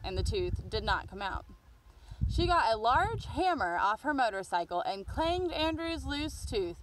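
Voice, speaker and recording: A woman reads a children's storybook aloud with lots of expression. Her voice is slightly high and very animated, and the recording has some faint noise. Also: unhurried, poor audio.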